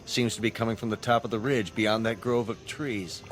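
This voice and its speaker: An actor playing a thoughtful, rational scientist on a space crew in a science fiction audio drama, speaking in a dry, precise manner. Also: male voice, on the low side, steady tone, moderate pace, soft background music, close to an everyday voice. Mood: analytical.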